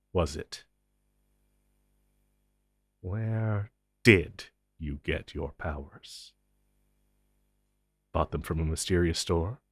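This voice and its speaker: Man playing a low, menacing monster interrogator. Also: no room sound, studio quality, heavily acted. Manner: taunting, condescending, theatrical with deliberate pauses. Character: menacing male monster interrogator, inhuman, smug and possessive. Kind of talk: intense audio roleplay drama.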